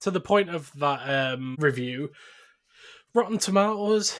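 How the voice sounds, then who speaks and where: Higher-voiced man hosting a podcast. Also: lively intonation, a little slow, fairly bright tone. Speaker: podcast host. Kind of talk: casual film discussion reading from notes.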